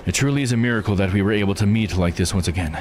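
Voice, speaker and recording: A man's voice: lowish voice, rapid-fire, natural voice. A man voicing a bitter, brooding, eight-hundred-year-old immortal lord in a visual novel game, delivered with grim, dramatic solemnity. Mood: brooding anguish.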